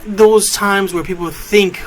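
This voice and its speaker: A man in his late thirties hosting an internet radio show, talking off the cuff in a casual, halting monologue. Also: high voice, fairly even intonation. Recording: clear background noise.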